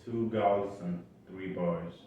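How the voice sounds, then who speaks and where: A young man raised in Uganda, answering interview questions in a halting way with many pauses and restarts, recorded from a distant mic in an echoey room. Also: deep voice, fairly even intonation, dark tone, a little hiss or hum. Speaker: interview guest. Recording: echoey, distant, dull sound.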